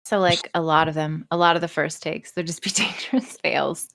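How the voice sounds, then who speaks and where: A woman's voice: low-pitched, steady tone, brisk, creaky, a bit bright. A woman chatting casually on a podcast, joking around and swearing freely. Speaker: podcast guest, audio performer.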